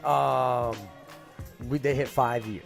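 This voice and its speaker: A man's voice: mid-range voice, takes it slowly, gravelly, faint music behind. A man hosting a casual podcast, talking in a loose, profane way and reading numbers out to his cohosts. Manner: animated, profane, reads stats to cohosts. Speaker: podcast host. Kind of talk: casual chat.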